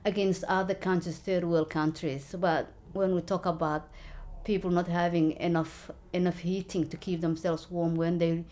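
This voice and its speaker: Woman, 40s, lowish pitch; earnest interviewee, halting delivery. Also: level tone, dark tone. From Somalia. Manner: earnest, halting, many fillers and restarts. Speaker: local election candidate. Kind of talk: interview, personal story.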